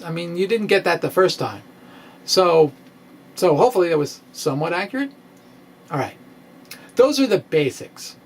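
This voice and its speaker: A middle-aged man presenting a science video blog in an informal, halting, self-deprecating way, with emphatic swings, close to a microphone in a room at home. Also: high voice, creaky. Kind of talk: informal explanatory lecture to camera, part reading. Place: small room at home with bookshelves. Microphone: microphone on a stand close in front.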